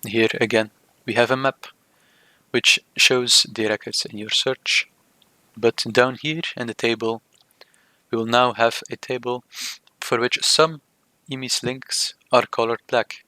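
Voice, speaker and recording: Man with a bright, dry-recorded voice. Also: mid-range voice, level tone, measured pace, pauses often, dry recording. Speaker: software demonstrator. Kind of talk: scripted tutorial narration of a screen demo.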